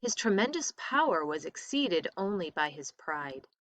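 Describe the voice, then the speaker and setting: Bright-voiced woman reading a story aloud. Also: fairly low pitch, sing-song, unhurried, no room sound. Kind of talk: expressive story read-aloud.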